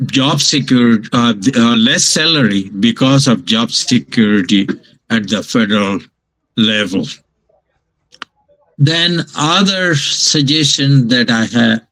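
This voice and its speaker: An older man from Bangladesh, a professor giving a lecture from his home over a video call, pausing often with fillers and restarts. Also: mid-range voice, fairly bright tone, poor audio. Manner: slow, halting delivery with many fillers and restarts. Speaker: guest lecturer.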